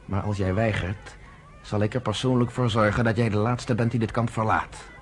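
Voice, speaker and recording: A man's voice: lowish voice, steady tone, normal speed, a little hiss or hum, close to an everyday voice. An actor playing a firm, shrewd, commanding male leader in a radio drama. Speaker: underground organizer.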